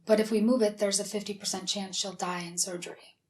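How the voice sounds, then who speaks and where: A woman from South Dakota with a raspy, breathy low voice, chatting openly as a guest in a studio interview. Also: steady tone, fairly quick, a little mellow. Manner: chatty, open storytelling. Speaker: whistleblower guest. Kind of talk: personal interview.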